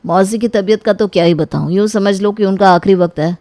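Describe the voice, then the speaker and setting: Woman playing a sly, mellow-toned young brother-in-law. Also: mid-range voice, a little fast, no echo, natural voice. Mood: casual. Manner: insinuating, taunting, pushy.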